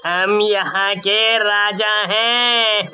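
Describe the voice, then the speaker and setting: Gloating horror-story bandit, played by a man: very drawn-out delivery, smooth clear tone. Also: high-pitched, bright, forceful tone, full character voice. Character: gloating villainous bandit, self-styled forest king.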